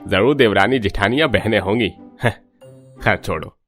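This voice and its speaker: A man's voice: on the low side, moderate pace, a bit bright, faint music behind, natural voice. A man voicing a bemused security guard in a cartoon story, making a wry remark. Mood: amused.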